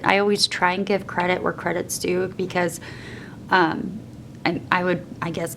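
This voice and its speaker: Woman, stuffed up with a cold, slightly low voice, casual rambling, fillers, faint background noise. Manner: chatty, self-deprecating, many fillers. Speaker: teacher and bullet journal vlogger. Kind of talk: casual flip-through narration.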